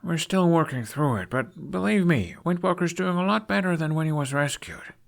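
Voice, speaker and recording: A man's voice: a little high, expressive, moderate pace, gravelly, pitched above the performer's own voice. A man voicing a kind, steadfast young prince with an earnest manner in a fantasy audiobook. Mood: friendly.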